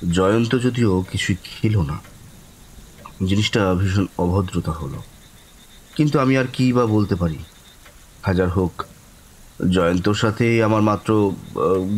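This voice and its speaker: A man playing a courteous young man who narrates his own eerie encounter in a horror audio story, lingering and expressive. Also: fairly low pitch, a little hiss or hum, lightly acted, low-quality recording. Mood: courteous, hesitant. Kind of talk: dramatic first-person horror story narration.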